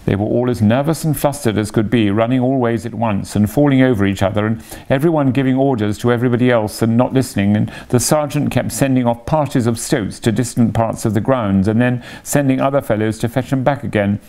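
Man doing a clever little mole telling his tale, gravelly reader's voice, storybook. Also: medium pitch, fairly quick, mellow, lightly acted. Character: clever, modest male mole, lively storyteller.

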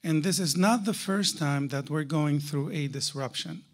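A man's voice: on the high side, moderate pace. A man giving a conference keynote to a live audience, emphatic, with rhetorical questions and repeated key phrases. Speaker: keynote speaker.

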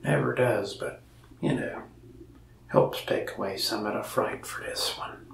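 A man playing a gravelly, soft-spoken mask shop salesman. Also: lowish voice, roomy sound, far from the mic, a little hiss or hum. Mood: soft, friendly. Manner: slow, soft-spoken, gravelly with frequent whispered stretches. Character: lonely, friendly male mask shop salesman. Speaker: ASMR creator. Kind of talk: soft-spoken ASMR roleplay. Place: small indoor room, mask wall behind.

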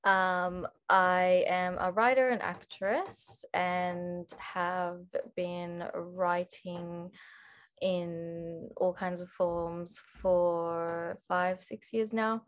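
A woman, introducing herself on an online panel in an unhurried way, with many pauses, over a video call from a room at home. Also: on the low side, fairly even intonation, clean tone. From Australia. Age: 20s. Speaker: panel speaker, writer and actress.

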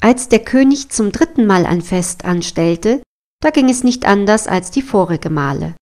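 A woman's voice: mid-range voice, lively intonation, normal speed, a little mellow. A woman storyteller narrating a scripted fairy tale.

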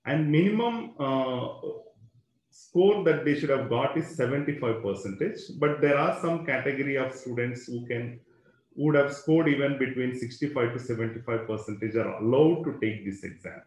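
A man's voice: mid-range voice, moderate pace, echoey room, poor audio. A man, a school educator explaining exams in an online webinar, talking into a computer microphone with many fillers and a habitual "right?".

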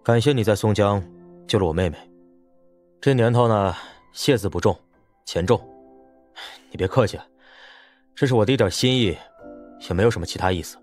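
A man's voice: fairly low pitch, moderate pace, long pauses, darkish tone, soft background music, natural voice. A man voicing an arrogant, hot-tempered military officer in a Chinese audiobook, curt and blunt in manner. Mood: flat, blunt.

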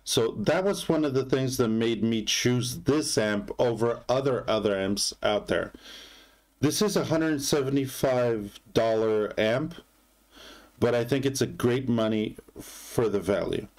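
Slow-paced man casually demonstrating gear. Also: medium pitch, a little mellow. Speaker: product reviewer.

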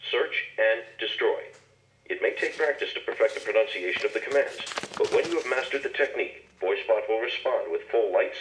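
A man's voice: on the low side, lively intonation, brisk, a bit bright, reverberant, slight background noise, low-quality recording. A man reading scripted instructions with careful enunciation, played from a cassette through a small toy speaker. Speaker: instructional tape narrator.

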